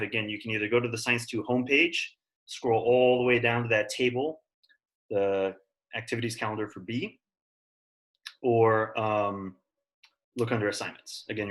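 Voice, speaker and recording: A man's voice: medium pitch, a bit bright. A man teaching an online class, talking casually and encouragingly to his students. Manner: encouraging, informal. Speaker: science teacher.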